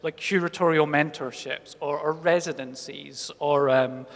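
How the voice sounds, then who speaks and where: A man in his late thirties thinking out loud on a festival panel, rambling through restarts. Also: fairly high pitch, fairly even intonation, a little slow, vocal fry. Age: late 30s. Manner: thoughtful, rambling, many restarts. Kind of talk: casual panel talk. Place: festival talk hall. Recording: long hall reverb.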